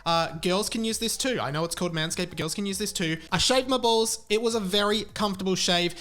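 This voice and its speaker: A man with a notably high voice talks like a casual podcast host. His delivery is frank and informal, and he likes to hammer a point by repeating it. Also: a little fast, fairly bright tone. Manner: informal, frank, emphatic repetition. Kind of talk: casual podcast talk and chatty ad read.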